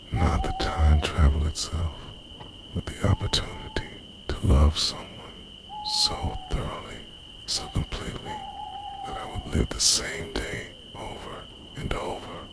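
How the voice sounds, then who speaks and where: A man playing a devoted, obsessive time-travelling boyfriend in an intimate ASMR roleplay, speaking in a breathy, often whispered, gravelly voice with hushed, earnest intensity. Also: rumbling low, full character voice. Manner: slow, earnest, hushed delivery. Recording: noisy night ambience with crickets, owls.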